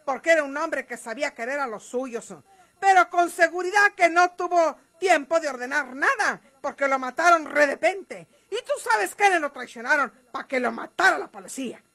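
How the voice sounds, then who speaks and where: A woman's voice: high voice, expressive, normal speed, pushed and bright, heavily acted. An actress playing a defiant, hot-tempered bandit's woman in a Mexican radio drama, with a northern Mexican accent and scornful rustic speech. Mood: bitter.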